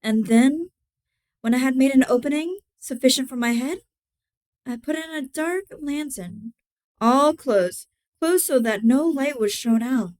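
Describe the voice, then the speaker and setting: A woman reading a gothic horror tale as its mad, cunning, obsessive murderer, deliberate and theatrical, moving between hushed and emphatic delivery with a smooth voice. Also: high voice. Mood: stealthy, sinister. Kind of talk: dramatic horror story reading.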